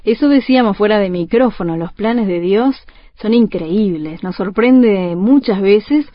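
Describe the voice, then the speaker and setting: A woman's voice: medium pitch, measured pace, dry recording. A woman hosting a women's radio program and chatting in a friendly, conversational way with her guests. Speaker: radio host. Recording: muffled radio broadcast, faint music.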